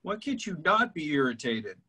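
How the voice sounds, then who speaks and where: A man reading a teasing bar regular in a table read over a video call, mocking and deadpan. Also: fairly high pitch, normal speed.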